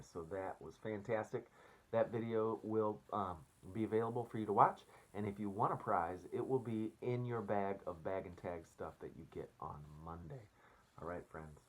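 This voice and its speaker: A man's voice: sits low, low-quality recording. A man reading a storybook aloud as a teacher, expressively, and addressing children casually.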